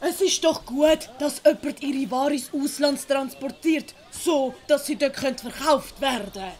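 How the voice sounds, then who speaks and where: A woman's voice: high-pitched, a little slow, a little mellow. A woman playing a naive, theatrical young male servant in a comedic audio play. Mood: puzzled.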